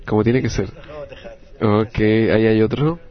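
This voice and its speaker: A man with a Latin American Spanish accent interpreting a group discussion live, in halting, phrase-by-phrase delivery. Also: on the low side, fairly even intonation, lo-fi sound.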